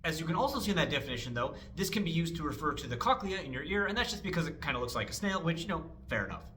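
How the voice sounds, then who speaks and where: A man hosting a casual language lesson, explaining things with wry jokes and lively asides. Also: a little high, rapid-fire, a little hiss or hum.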